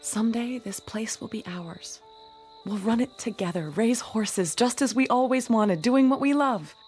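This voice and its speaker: A woman's voice: on the high side, unhurried, darkish tone, husky, soft background music. An actress playing an impulsive, ardent young bride-to-be in a radio drama, earnest in her delivery. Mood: urgent, elated. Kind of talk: dramatic radio play acting.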